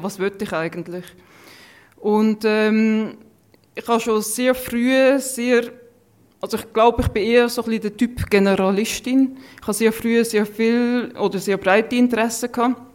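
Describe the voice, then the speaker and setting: A woman's voice: fairly high pitch, darkish tone. A woman in her thirties with a mixed Swiss German accent, speaking casually and reflectively as a podcast guest. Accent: Swiss German, mixed Lucerne, Thurgau and Zurich. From Switzerland. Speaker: interview guest, food scientist.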